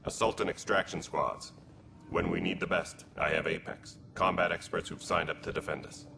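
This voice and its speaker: An actor playing a gruff, dutiful alien militia commander in a video game, speaking in a measured, matter-of-fact military way. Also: male voice, sits low, fairly even intonation. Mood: businesslike. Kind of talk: video game cutscene acting. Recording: loud background noise.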